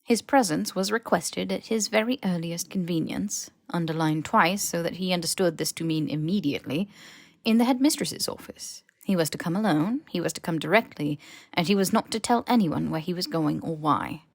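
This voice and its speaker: A woman reading fiction as a scripted audiobook narration, in an expressive, wry storytelling manner. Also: lowish voice, moderate pace, gravelly, dry recording, studio quality. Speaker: podfic narrator.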